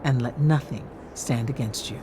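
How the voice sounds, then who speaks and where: An actress playing a wise, commanding warlock mentor in a video game, solemn, measured and authoritative. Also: female voice, very deep voice, background noise. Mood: solemn, intense. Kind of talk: cinematic game voice acting. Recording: voice over cinematic music.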